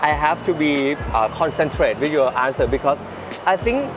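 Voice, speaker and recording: A man with a Thai accent hosting an interview, phrasing his English questions in a halting, roundabout second-language way. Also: a little high, animated, noisy background. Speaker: host interviewing a guest. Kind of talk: conversational interview. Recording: music under the voice. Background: background music.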